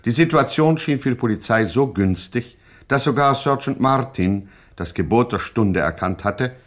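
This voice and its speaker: A man's voice: mid-range voice, lively intonation, moderate pace, natural voice. Formal male narrator for a gangster radio satire, mid-range voice, mock-epic solemnity.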